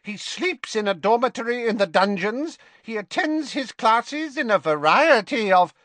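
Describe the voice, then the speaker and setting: A man voicing a surly, servile old male house elf with a croaking voice. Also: very high-pitched, lively intonation, a little slow, a bit bright, dry recording, pitched above the performer's own voice, full character voice. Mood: sullen.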